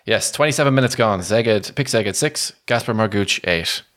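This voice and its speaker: A man's voice: fairly low pitch, lively intonation, moderate pace, a bit bright. A man co-hosting a sports podcast, casually recounting matches in a conversational way. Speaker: podcast co-host and match reporter.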